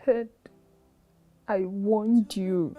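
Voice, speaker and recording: A woman's voice: mid-range voice, fairly even intonation, extremely slow, phone-quality sound, soft background music. A woman playing a grieving mother, crying and accusing her husband. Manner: sobbing, accusing. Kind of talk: melodrama acting.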